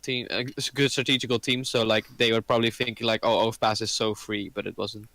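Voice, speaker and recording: A man's voice: on the low side, level tone, fast, pushed and bright, dry recording. A Dutch-speaking man from the Netherlands giving casual esports analysis, hesitant and full of restarts. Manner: hesitant, many fillers and restarts. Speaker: podcast guest analyst.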